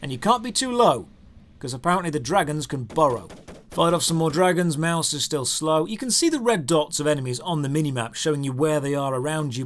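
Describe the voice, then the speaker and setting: A man narrating a scripted video game review in a sarcastic, theatrically exasperated manner. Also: a little high.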